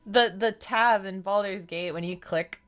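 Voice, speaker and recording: A woman's voice: medium pitch, unhurried, fairly bright tone. A woman streamer giving casual, playful live commentary on a game, with a giggly, teasing manner.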